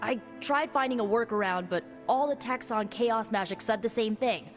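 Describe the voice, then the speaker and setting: An actress playing a quick-witted, sardonic young sorceress in a video game, talking in a casual, halting, anxious way. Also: female voice, fairly high pitch, in a large hall, soft background music, close to an everyday voice. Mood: hesitant, confessing. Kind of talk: video game cutscene dialogue.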